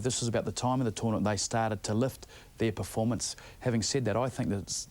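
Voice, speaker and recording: A man's voice: lowish voice, a little mellow. A man giving off-the-cuff analysis as a sports pundit. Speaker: rugby pundit.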